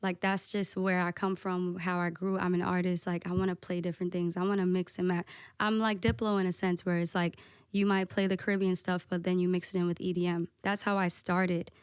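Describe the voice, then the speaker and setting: A woman DJ guesting on a podcast, talking casually and candidly with a soft voice, peppering her talk with "like" and swearing. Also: lowish voice, flat delivery, fairly quick, clean tone, dry recording, clean studio sound. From United States. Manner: candid, lots of "like" and profanity.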